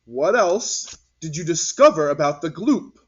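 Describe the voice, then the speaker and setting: An encouraging storybook science teacher, played by a man, emphatic and instructive, with raised, lively pitch. The sound is a little dull and lo-fi. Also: measured pace. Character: encouraging male science teacher explaining big ideas. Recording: dull, lo-fi recording.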